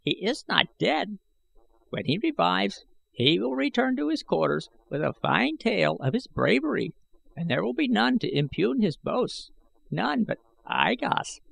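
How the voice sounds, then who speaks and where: A man voicing a bent, eager old man with excitable, old-fashioned pleading speech, as an audiobook character. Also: sits high, a little slow, vocal fry, breathy edge, voice pushed up, heavily acted. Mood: counseling. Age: older.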